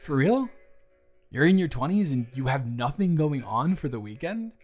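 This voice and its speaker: A man's voice: on the high side, very animated, normal speed, darkish tone. A man playing a fond, chatty office boss in his early thirties in a romantic audio roleplay, speaking earnestly and playfully straight to the listener. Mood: sincere, encouraging. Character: warm, earnest thirty-three-year-old male office boss, fond and encouraging. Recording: background music. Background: music.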